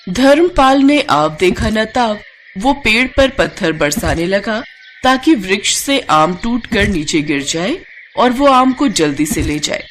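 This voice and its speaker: A woman's voice: mid-range voice, expressive, measured pace, slight background noise. A woman storyteller reading a scripted devotional story.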